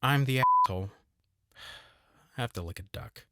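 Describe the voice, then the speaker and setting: A man narrating a scripted comedic game review in a wry, sarcastic way, jumping high in pitch for his jokes. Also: unhurried, sharp tone, no room sound. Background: music.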